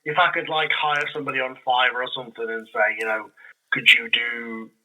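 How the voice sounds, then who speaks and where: A man's voice: medium pitch, flat delivery, fairly quick, pushed and bright, low-quality recording. A man speaking as a podcast guest and audio drama creator, chatting casually and rambling through his explanations. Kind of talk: casual interview chat.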